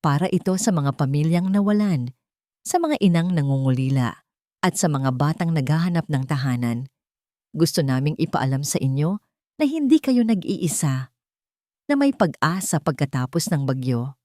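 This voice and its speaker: A woman's voice: low voice, lively intonation, normal speed, warm tone, no room sound, clean studio sound. A woman voicing a grateful young mother who speaks firmly and sincerely, in a storybook reading. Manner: firm, sincere public speaking.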